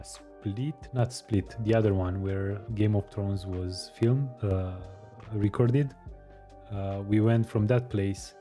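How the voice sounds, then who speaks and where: A man hosting a live stream, chatting casually with viewers, hesitant with many pauses and fillers. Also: on the low side, warm tone. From Romania. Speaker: live-streaming coding host. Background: faint music.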